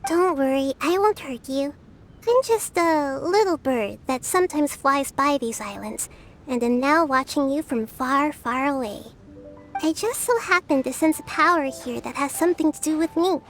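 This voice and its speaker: Enigmatic bird spirit for a video game: serene, soft-spoken, gentle, faintly wistful. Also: female voice, sits high, moderate pace. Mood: serene, admiring. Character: mysterious gentle female bird spirit watching from afar.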